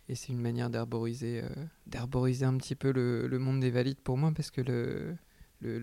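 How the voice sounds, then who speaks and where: A man's voice: mid-range voice, vocal fry, dark tone, dry recording. A man speaking as a textile artist in an interview, giving reflective answers and often hesitating while he searches for words.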